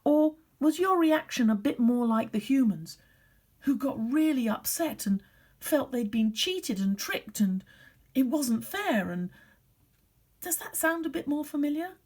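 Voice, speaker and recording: Animated woman storyteller, slightly husky. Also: high-pitched. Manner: expressive, deliberate, dropping to hushed tones. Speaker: primary school teacher telling a story. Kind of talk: storytelling for young children.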